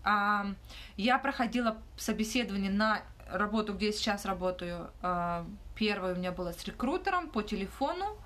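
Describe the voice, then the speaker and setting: A young woman hosting a livestream Q&A in a small room, talking casually into her phone and often pausing with fillers. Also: mid-range voice, lively intonation, a bit bright. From Ukraine. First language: not Russian. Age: young adult. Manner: hesitant, frequent "uh" fillers and restarts. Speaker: livestream host answering questions. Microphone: phone held close, front camera.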